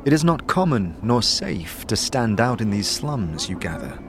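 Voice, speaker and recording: A man narrating a fantasy audio drama in a measured, atmospheric storytelling style. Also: on the low side, very animated, background noise. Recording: clear background music.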